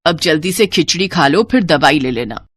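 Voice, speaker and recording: A woman's voice: sits low, expressive, normal speed. A woman voicing a caring but long-suffering older Indian mother-in-law in a moral story, admonishing and explaining. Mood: gentle advice. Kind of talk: dramatised Hindi moral story.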